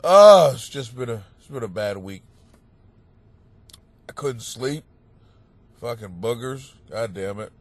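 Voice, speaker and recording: A man and comic hosting a podcast, with a stuffed-up nose, telling stories in a profane, animated rant that breaks into shouting. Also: medium pitch, slow pace, pauses often, dry recording. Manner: animated, swearing, bursts into shouting. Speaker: stand-up comic and podcast host. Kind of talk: profane comedic rant monologue.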